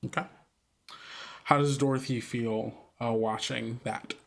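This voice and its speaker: Guy hosting a tabletop rp podcast, mid-pitched voice, talking through the game. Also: fairly even intonation, a little slow, gravelly. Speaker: game facilitator and player on an actual play podcast. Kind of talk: improvised tabletop roleplay talk.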